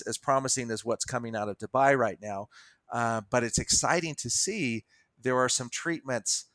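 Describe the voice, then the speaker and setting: An American man co-hosting a podcast, introducing and chatting casually in a halting, stop-start way. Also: medium pitch, fairly bright tone, dry recording. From United States. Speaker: podcast co-host.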